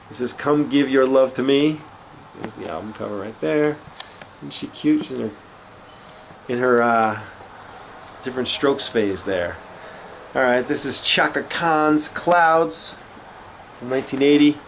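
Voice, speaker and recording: A man's voice: mid-range voice, a little slow, long pauses, slight background noise, low-quality recording. A man with a cold and a slightly nasal voice, casually chatting as he shows off records from his collection. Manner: relaxed, chatty, with frequent fillers. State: congested with a cold, sniffling. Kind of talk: casual show-and-tell chat.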